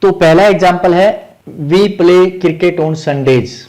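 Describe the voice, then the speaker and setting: An Indian man with a slightly high voice gives a grammar lesson. He is methodical and instructive, restating each key point and dropping English terms into his explanation. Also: takes it slowly, a little mellow, low-quality recording.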